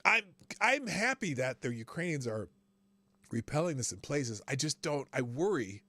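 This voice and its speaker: Halting male live-stream news host. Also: mid-range voice, sing-song, bright, forceful tone, no room sound. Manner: halting, many restarts and fillers, reads articles aloud, chats with viewers. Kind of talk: live-stream news commentary, reading headlines and chat.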